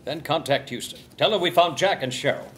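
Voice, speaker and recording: A man playing a terse, sardonic space crew leader in a comic screenplay table read, often deadpan. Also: fairly high pitch, fairly quick, close to an everyday voice. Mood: terse command. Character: dry, commanding male space crew leader. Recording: table read with background noise.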